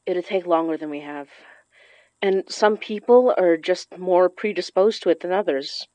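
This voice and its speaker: A woman playing an earnest, high-strung occult practitioner in an audio drama, speaking in an animated, natural way. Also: normal speed, dry recording, natural voice, low-quality recording. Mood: serious. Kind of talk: audio fiction podcast acting.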